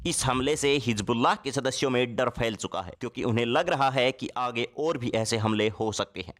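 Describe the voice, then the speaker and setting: A man's voice: mid-range voice, fairly bright tone. A man delivering explanatory news commentary in a dramatic, emphatic way, addressing viewers directly.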